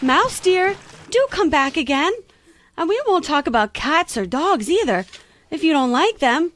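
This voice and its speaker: Earnest, chatty little girl, voiced by a woman; high and sing-song. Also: sing-song, a little slow, a bit bright, dry recording, heavily acted. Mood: chattery. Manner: chattery, earnest, easily flustered. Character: curious, polite little girl. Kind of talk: audio drama acting.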